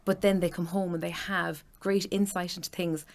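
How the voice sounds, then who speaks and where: A woman's voice: lowish voice, little pitch movement, moderate pace. A woman in her thirties with an Irish accent, chatting enthusiastically as a parent about her children's school. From Ireland. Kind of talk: casual chat.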